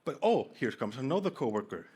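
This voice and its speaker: A man's voice: medium pitch, very animated, fairly quick, darkish tone, husky. A man from Iceland with an Icelandic accent, giving a playful, jokey conference talk and bantering with a live audience. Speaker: conference speaker. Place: conference hall stage.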